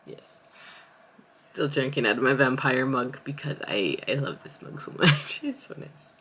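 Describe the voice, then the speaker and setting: A woman's voice: extremely low pitch, expressive, moderate pace, a bit bright, breathy edge. A young adult woman, an aspiring author, chatting casually at home in a vlog, rambling and correcting herself as she thinks aloud. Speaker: aspiring author vlogging her writing.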